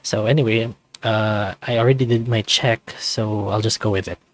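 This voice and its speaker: A man's voice: lowish voice, fairly even intonation, normal speed, dry recording. A man doing a casual let's-play of a visual novel, reading and commenting as he goes.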